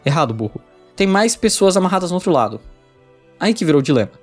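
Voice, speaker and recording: A man's voice: high-pitched, sing-song, fast talker, faint music behind. A man with a Brazilian Portuguese accent reading an informal video essay script, conversationally addressing the viewer.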